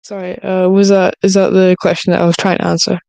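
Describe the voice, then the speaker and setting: A woman's voice: lowish voice, level tone, fast talker, vocal fry, darkish tone, clear, resonant tone, no room sound. A woman chatting casually as a podcast panelist, hesitant and a little flustered. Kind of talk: casual, unprepared chat.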